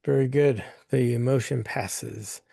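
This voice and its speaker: Hoarse, gravelly man chairing a meeting. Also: medium pitch, slow, mellow, no room sound, clean studio sound. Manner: plain, rambling, with many fillers. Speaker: governing council chair.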